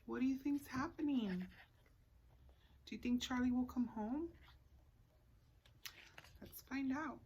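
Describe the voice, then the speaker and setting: A woman with a fairly high voice reads a picture book for story time. She takes her time, speaking expressively to young children like a preschool teacher and inviting them into the story. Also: breathy edge, rough recording. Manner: measured, expressive storytelling with questions to listeners.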